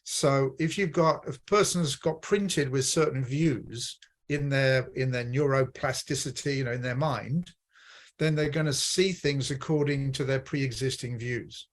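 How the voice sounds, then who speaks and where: A man from the Midlands of Britain talking through ideas on personal development in a measured, explanatory way, restarting phrases as he goes. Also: medium pitch. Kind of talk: reflective conversation on personal development.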